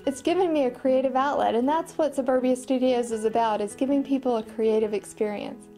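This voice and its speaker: Female interviewee with a fairly high, soft mellow tone, recalling creative work over faint music. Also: steady tone, a little fast. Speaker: studio collaborator and voice actor. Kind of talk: documentary interview reminiscence.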